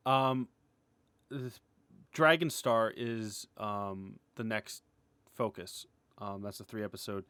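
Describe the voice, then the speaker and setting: A man giving a casual, unscripted update as an animator, rambling and halting as he restarts his sentences, informal and candid. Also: on the low side, a bit bright, no echo. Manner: rambling, halting, self-interrupting, often chuckling and clearing throat; informal, mildly sweary, candid. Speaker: animator and web series creator.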